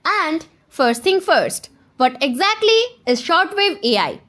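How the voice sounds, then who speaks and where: A woman with a high voice narrates a scripted software tutorial. Her intonation is very animated, moving freely up and down. She speaks directly to the viewer as an instructor, laying out each step in order. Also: slow. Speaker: tutorial presenter.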